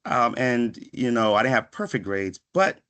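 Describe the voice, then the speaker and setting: A man's voice: medium pitch, sing-song, moderate pace, fairly bright tone, clean tone. A man in his thirties from the Washington, D.C. area, born in Ghana, giving a reflective, conversational motivational talk to students, often checking in with "right?". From born in Ghana, raised in Washington, D.C. area from age five. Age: 30s. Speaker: guest speaker, psychiatric pharmacist. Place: online video session.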